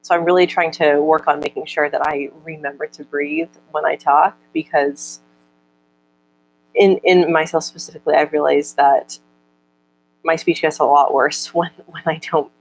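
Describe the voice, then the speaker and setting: A woman's voice: deep voice, fairly even intonation, poor audio. A young woman in casual chat, stuttering often with repeated words and broken-off syllables as she answers viewer comments. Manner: reads out viewer comments slower.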